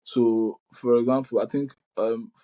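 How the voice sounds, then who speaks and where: Mellow-voiced man hosting over video call. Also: mid-range voice, steady tone, unhurried, poor audio. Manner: loose and hesitant, many fillers and restarts. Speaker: podcast host.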